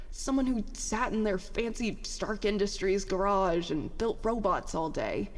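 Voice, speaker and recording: A woman playing a stressed, guarded young petty officer in a naturalistic audio drama, halting and rambling with self-deprecating words. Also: medium pitch, big-room sound, lightly acted. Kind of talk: audio drama acting, naturalistic confessional dialogue.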